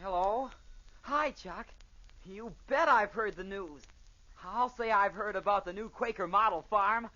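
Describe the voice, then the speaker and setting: A performer playing an excited young boy chatting eagerly on the telephone in an old radio commercial. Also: mid-range voice, measured pace. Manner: eager, enthusiastic. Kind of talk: 1940s-50s radio commercial acting. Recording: dull vintage radio recording.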